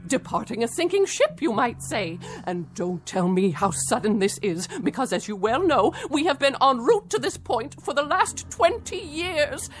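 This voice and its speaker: A middle-aged wife at the end of her patience in a theatrical radio drama. Her voice sits high and moves through very lively swings. She is sardonic and cutting, leaning hard on her words. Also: moderate pace, hardly any pauses, soft background music. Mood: fed up.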